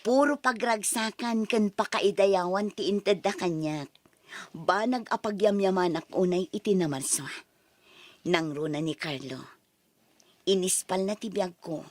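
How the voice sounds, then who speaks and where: A woman's voice: medium pitch, a little slow, dry recording, close to an everyday voice. Emotional daughter in a radio drama, sentimental, dry studio sound woman acting. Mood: sorrowful.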